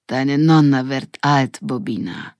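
Female reader as elderly Italian nonna for audiobook: fond, proud, slow, low, Italian accent. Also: a little mellow, dry recording, clean studio sound. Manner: slow, drawn-out delivery.

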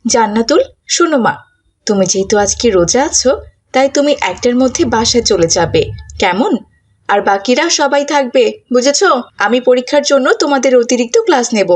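A woman playing a kindly but firm schoolteacher in a cartoon, instructing and questioning children with a husky edge. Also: fairly high pitch, animated, normal speed. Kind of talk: cartoon dialogue acting.

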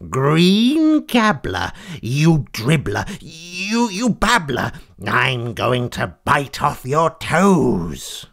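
A storyteller voicing a lonely, theatrical female cat monster who croons slowly and hurls grand insults. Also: mid-range voice, heavily acted. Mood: haughty. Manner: slow, drawn-out crooning, haughty and insulting. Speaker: storybook figure.

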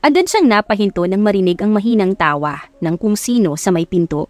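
A woman's voice: medium pitch, animated, unhurried, darkish tone, no room sound, soft background music. A woman with a Filipino accent reading scripted narration for a romance audiobook. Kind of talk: scripted narration of a Tagalog love story.

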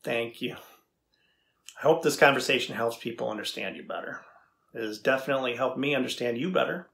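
Man as a gravelly, husky, curious researcher. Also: medium pitch, fairly bright tone. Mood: thoughtful. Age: middle-aged. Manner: deliberate, inquisitive. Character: thoughtful, curious male AI researcher interviewing a machine. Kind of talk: dramatized transcript reading. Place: indoors before a studio backdrop.

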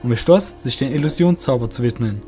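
A man's voice: mid-range voice, expressive, fairly quick, dark tone. A man narrating a scripted fantasy story as the narrator of an audio drama. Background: music.

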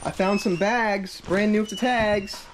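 A young man vlogging as he digs through a storage unit, chatty and excitable, often exclaiming in surprise. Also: high-pitched, unhurried. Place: indoor storage facility hallway. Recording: noisy handheld camera audio.

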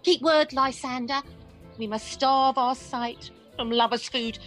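A woman's voice: high-pitched, fairly even intonation, unhurried, a bit bright, soft background music. A woman playing a tender, playful young woman in love, speaking heartfelt Shakespearean verse in a radio play. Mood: tender farewell.

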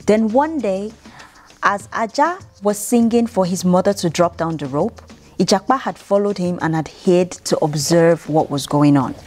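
A young woman from Nigeria reads a children's bedtime story in a measured, expressive way, with dramatic pauses. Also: lowish voice. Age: young adult. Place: indoor room, seated by a piano. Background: music.

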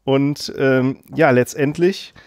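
A man's voice: medium pitch, fairly even intonation, slow pace, darkish tone, no room sound, studio quality. A man hosting a casual podcast, rambling through a story with many fillers and frequent laughs. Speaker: podcast host.

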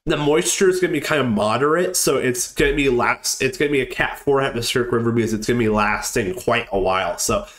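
A man's voice: mid-range voice, fast, hardly any pauses, fairly bright tone. A young man getting over a cold, casually walking through a weather forecast with lots of ums and restarts. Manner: rambling, many ums and restarts.

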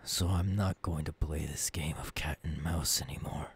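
A man playing a tormented, menacing young ghoul king in an ASMR audio roleplay, in a breathy, often whispering voice with brooding phrasing. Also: a bass voice, steady tone, moderate pace, exaggerated voice. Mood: tense, weary. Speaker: voice actor.

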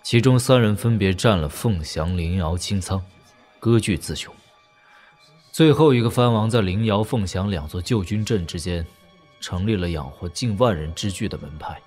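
Male narrator, lowish voice, measured and drily ironic, scripted storytelling over light music. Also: a little mellow. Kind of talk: scripted storytelling with wry asides.

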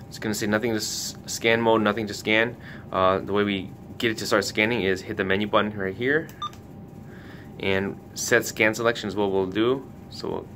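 A man hosting a casual hands-on product tutorial, giving careful step-by-step instructions. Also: a bit bright. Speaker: tutorial host for a retailer. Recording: noticeable background noise with low rumble.

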